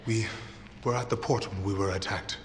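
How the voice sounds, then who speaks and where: An actor playing a weary, alarmed soldier who survived an attack, speaking with halting urgency in a video game cutscene. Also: male voice, on the low side, fairly even intonation, close to an everyday voice. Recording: echoey hall, faint background noise.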